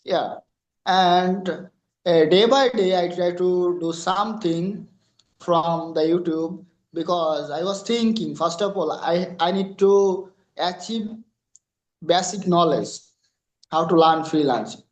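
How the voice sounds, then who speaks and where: Slow-talking Bangladeshi man, echoey room. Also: sits high. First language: Bengali. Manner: halting non-native English with many fillers. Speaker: freelancer guest. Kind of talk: interview answers.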